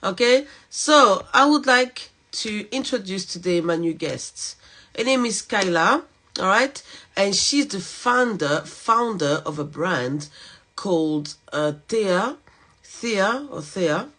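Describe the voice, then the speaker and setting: Host role: chatty French-accented woman, mid-pitched, casual live video-call podcast, getting over a cold. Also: expressive, slow. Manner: chatty, warm greetings, lots of "okay" and "all right" fillers. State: recovering from a cold.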